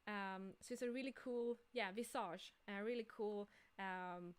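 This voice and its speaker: A woman co-hosting a livestream, explaining a project informally and haltingly, often correcting herself. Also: medium pitch, smooth. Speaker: livestream co-host and game creator. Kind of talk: casual livestream talk about a project.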